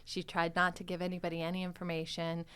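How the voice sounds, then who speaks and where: A woman hosting a podcast in a casual, chatty way, playful and quick to laugh. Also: on the low side, monotone, a bit bright, smooth. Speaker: podcast host and series creator.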